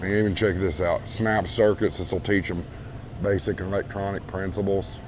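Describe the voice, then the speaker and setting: Hoarse deep-voiced man touring a store. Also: little pitch movement, moderate pace, background noise. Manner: easygoing, repeats praise, addresses viewers as folks. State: hoarse, losing his voice. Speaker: vlog host touring a store. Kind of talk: casual walkthrough narration. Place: large retail store indoors. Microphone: handheld phone camera.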